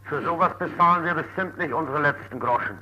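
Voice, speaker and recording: A man's voice: a little high, brisk, sharp tone. Indignant man on muffled old phone recording. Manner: indignant, blunt. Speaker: complaining radio listener phoning in. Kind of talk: angry phone complaint. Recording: muffled, noisy 1950s telephone recording.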